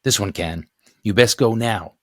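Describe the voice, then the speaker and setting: A man's voice: lowish voice, unhurried, a bit bright, no room sound, studio quality. Man as tough, matter-of-fact older woman agent. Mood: urgent, firm.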